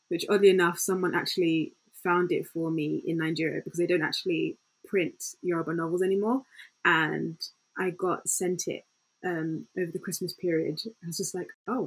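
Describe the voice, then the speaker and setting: A woman's voice: low voice, level tone, a little fast, husky, poor audio. A young woman telling her story in a casual, informal way as a panel guest, on a headset at home. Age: young adult. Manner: informal, peppered with "like". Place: small home room, indoors. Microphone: headset on video call.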